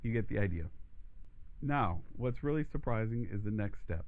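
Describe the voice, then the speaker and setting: A man teaching a voice lesson, explaining steps calmly and steadily, his voice croaky from allergies. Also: fairly low pitch, normal speed, phone-quality sound. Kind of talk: instructional demonstration.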